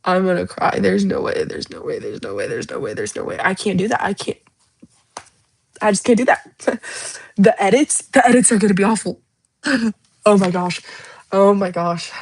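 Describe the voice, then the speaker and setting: A woman's voice: mid-range voice, moderate pace, vocal fry, long pauses, breathy edge. Gushing young woman livestreaming. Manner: gushing and emotional, rambling, repeats herself. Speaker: livestream host. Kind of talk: casual livestream chat about a book series.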